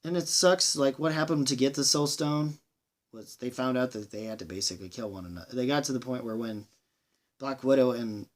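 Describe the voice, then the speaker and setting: A man giving a casual movie review monologue, rambling and halting, with enthusiastic delivery and plenty of swearing. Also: mid-range voice. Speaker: film reviewer, channel host.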